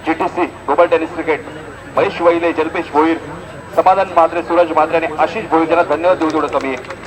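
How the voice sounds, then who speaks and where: A man's voice: on the high side, steady tone, quick pace, crowd noise. A man with a Maharashtrian accent giving live ball-by-ball cricket commentary from an outdoor ground. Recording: noisy outdoor sports ground recording.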